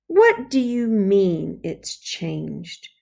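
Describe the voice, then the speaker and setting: Woman reader playing female schoolteacher, storybook, firm, concerned, stern questioning, measured, unhurried. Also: medium pitch, very animated, gravelly, dark tone, exaggerated voice. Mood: stern, demanding. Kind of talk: children's storybook character voice.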